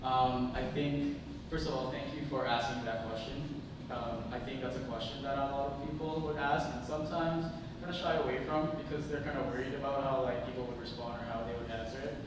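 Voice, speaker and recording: A man's voice: medium pitch, steady tone, a little fast, few pauses. A man speaking informally as a forum panelist, putting questions to the audience in a casual, tentative way. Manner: casual, questioning, hedging with "like" and "right". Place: large indoor arts venue. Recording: noisy, echoey hall recorded from far off.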